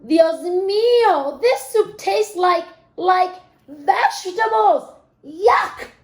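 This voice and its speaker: A doting, fussy zombie mother from a picture book, played by a woman reading aloud. Her voice is high and swoopy, emphatic, sprinkled with Spanish endearments, in a roomy space. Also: expressive, extremely slow, full character voice. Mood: disgusted. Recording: roomy, echoing room sound.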